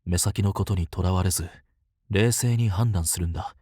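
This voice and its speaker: A man's voice: low-pitched, normal speed, darkish tone, slightly rough, no echo, studio quality. A man playing a protective seer and mentor in a fantasy drama, sober and blunt in manner. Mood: firm, reassuring. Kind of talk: dramatic scripted voice acting.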